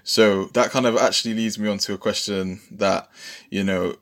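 A man's voice: lowish voice, fairly even intonation, normal speed. A man hosting a podcast casually, rambling informally and repeating phrases as he thinks. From Bristol, England. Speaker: podcast host.

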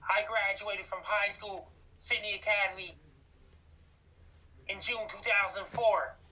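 A man in his thirties from Canada talks in an even, monotone way with a bright, forceful edge. He repeats facts and dates as he lists them. He comes through from a distance on a dull, muffled line. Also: sits high, a little slow. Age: 30s. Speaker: guest, YouTuber. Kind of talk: interview answers.

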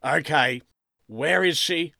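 A man voicing a stern, suspicious middle-aged police sergeant in a story, interrogating forcefully. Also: a little high, unhurried, no room sound, studio quality, voice pushed up. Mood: agitated.

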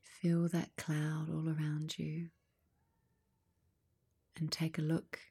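Slow, hushed, husky woman guiding meditation. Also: sits low. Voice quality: soft, breathy, husky, near-whisper. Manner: calm, unhurried, long pauses between short phrases. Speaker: guided meditation leader.

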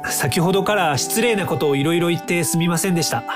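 An actor in an audio drama playing an earnest, polite young time-traveling inventor who explains things courteously. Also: male voice, on the high side, fast, over music, natural voice. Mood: sincere, composed.

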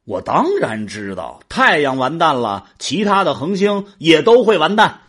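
An actor playing a boastful, defensive man bickering with a friend, husky and colloquial, in a sci-fi audio drama. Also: on the high side, big pitch swings, a little slow, fairly bright tone, full character voice. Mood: indignant. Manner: colloquial, grumbling, exasperated.

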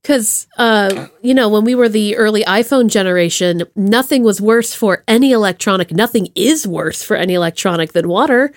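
A woman's voice: mid-range voice, normal speed, hardly any pauses. A woman hosting a podcast in casual conversation, playful and self-deprecating. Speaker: podcast host. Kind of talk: casual interview chat.

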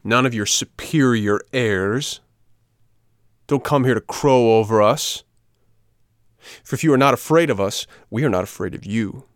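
A man's voice: medium pitch, lively intonation, normal speed, fairly bright tone, dry recording, clean studio sound, voice pushed up. A man voicing mocking rascal schoolboys in a storybook, with a jeering, emphatic and bullying manner. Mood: threatening.

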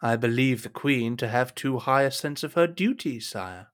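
A man's voice: medium pitch, expressive, normal speed, lightly acted. An actor playing a scheming, deferential cardinal advising a king in a period audio drama, with a formal, insinuating, courtly manner.

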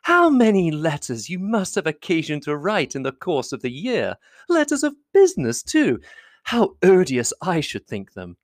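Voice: medium pitch, wildly swooping pitch, moderate pace, no room sound, clean studio sound, voice pushed up. A reader voicing a fawning young society lady in a classic novel audiobook, effusive and coquettish.

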